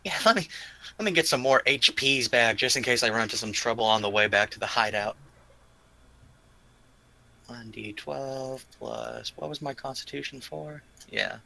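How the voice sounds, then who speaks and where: A man's voice: medium pitch, moderate pace, vocal fry, sharp tone. A man playing a tabletop game, chatting casually and thinking aloud as he goes.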